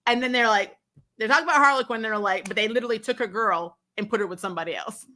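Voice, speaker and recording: Woman, higher-pitched, bright forceful tone, sarcastic, incredulous, blunt, casual opinionated review chat, laugh-prone. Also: fast talker. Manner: laughs often while talking. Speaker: host of a TV superhero recap show.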